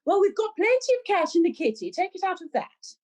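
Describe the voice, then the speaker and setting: A woman playing a brisk, businesslike village committee chairwoman with a dry wit in a radio pantomime. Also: very high-pitched, sing-song, noisy background. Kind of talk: pantomime radio play acting.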